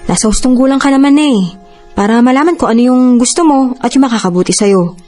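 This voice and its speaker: Woman acting a higher-voiced, protective Filipino elder sister. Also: fast talker, dark tone, natural voice. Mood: accepting. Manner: earnest, admonishing, emotive. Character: protective, forgiving elder sister, concerned and probing. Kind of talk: Tagalog radio drama acting. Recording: music bed under voice.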